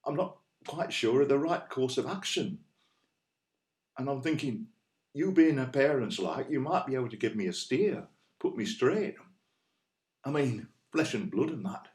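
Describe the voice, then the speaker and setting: An actor playing an earnest, anxious Northern boyfriend in an audio play, with a West Yorkshire accent and a hesitant, rambling, colloquial way of talking. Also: male voice, medium pitch, lively intonation, normal speed, long pauses, darkish tone, reverberant, natural voice. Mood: anxious, pleading. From West Yorkshire, England. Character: earnest, anxious, plain-spoken Northern boyfriend, out of his depth.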